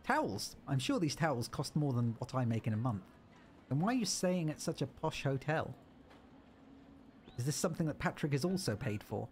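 A man's voice: medium pitch, big pitch swings, normal speed, a little hiss or hum. A man streaming a video game, joking and reading the on-screen text aloud as he plays. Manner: playful, jokey, reads game text aloud. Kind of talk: let's-play commentary.

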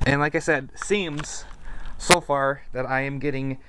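Halting man on a noisy home recording. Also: mid-range voice, steady tone. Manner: hesitant, self-deprecating, halting. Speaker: hobbyist video maker. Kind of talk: casual unscripted demonstration. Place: indoors in a carpeted room at home. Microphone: handheld camera mic. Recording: noisy home camera recording, radio static.

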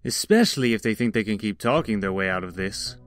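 A man's voice: lowish voice, expressive, fast, a little hiss or hum, close to an everyday voice. A man playing a brash, arrogant young prince with a blunt, imperious manner in an amateur comic dub. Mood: brash, defiant.

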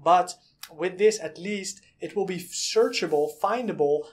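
Man walking through software. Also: high voice, a little slow. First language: Dutch. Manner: explanatory, step-by-step, with frequent "uh" and "um". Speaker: product reviewer. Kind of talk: screen-share software walkthrough. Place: small indoor room.

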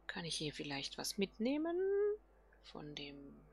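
A woman's voice: deep voice, big pitch swings, a little slow, gravelly, a little hiss or hum. A woman hosting a let's play, thinking aloud casually and murmuring questions to herself as she plays.

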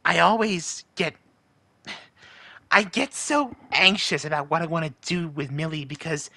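A man's voice: on the high side, gravelly, sharp tone, no echo, pitched above the performer's own voice, exaggerated voice. A man voicing a nervous, lovestruck cartoon imp husband who rambles in an anxious, hesitant way. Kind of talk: in-character fan monologue in cartoon style.

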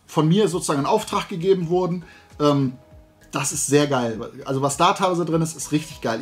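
Male host, middle-aged, voice a bit high, loose colloquial chat to camera, faint music underneath. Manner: chatty, rambling, colloquial. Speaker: host of a toy and comics show. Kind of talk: casual talk to camera. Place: indoor room lined with collectibles.

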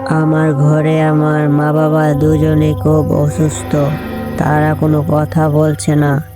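A man's voice: fairly high pitch, monotone, takes it slowly, voice pushed up. A man voicing a sorrowful, eerie young village boy in a horror cartoon, speaking plaintively. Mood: sorrowful, pleading. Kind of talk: animated horror story acting. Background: music.

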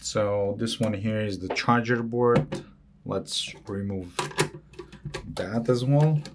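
A man hosting an electronics repair video, walking through a teardown at an unhurried pace and thinking aloud as he goes. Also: fairly low pitch, noisy background. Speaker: electronics repair channel host. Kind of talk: hands-on repair walkthrough.

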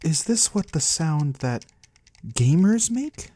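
A man playing a mysterious, courteous disembodied trader of the void in a soft-spoken ASMR roleplay, husky and breathy, slow and gently curious. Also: fairly high pitch, very animated, a little hiss or hum. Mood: curious, pleased. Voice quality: husky, breathy, sometimes whispering. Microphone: close, dry microphone.

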